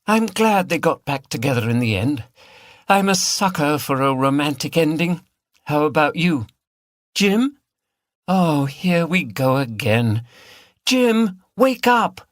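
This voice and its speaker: A man plays a brisk, teasing woman in a mystery audiobook. The voice is raised well up in pitch, frank and chiding, with a very dry, close sound. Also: very animated, dry recording. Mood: teasing, exasperated. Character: brisk, teasing woman, partner of a weary detective.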